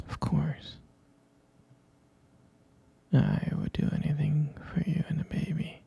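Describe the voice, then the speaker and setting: Man acting a whispering, gravelly, devoted husband. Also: on the high side, heavily acted. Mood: gentle, caring. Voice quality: breathy whisper with gravelly creak. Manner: slow, soft, hesitant, doting murmur. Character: tender, devoted husband comforting his pregnant partner. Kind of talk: intimate ASMR roleplay.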